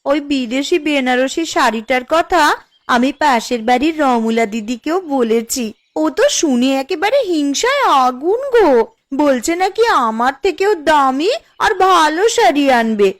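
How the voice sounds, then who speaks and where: A woman's voice: high voice, expressive, measured pace. A woman voicing a boastful village housewife in a cartoon story, with a showy, wheedling, homely way of talking. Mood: proud, chatty. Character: boastful, envy-stirring village housewife, sweet to her husband. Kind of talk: Bengali cartoon story dialogue.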